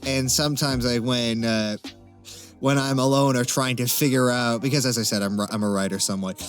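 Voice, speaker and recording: A man's voice: mid-range voice, fairly even intonation, fairly quick, soft background music. A man chatting casually as a Q&A guest, talking in a hesitant, wry and self-deprecating way. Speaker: interview guest, amateur voice actor. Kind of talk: casual Q&A chat.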